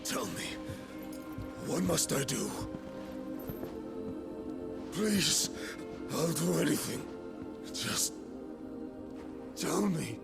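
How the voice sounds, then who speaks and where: An actor playing a proud, humiliated warlord in a video game, bitter and volatile with rage and grief. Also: male voice, fairly high pitch, animated, extremely slow, vocal fry, long pauses, full character voice. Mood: desperate pleading. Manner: volatile, bitter, swinging from snarling to broken pleading. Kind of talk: dramatic video game acting. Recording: loud music behind.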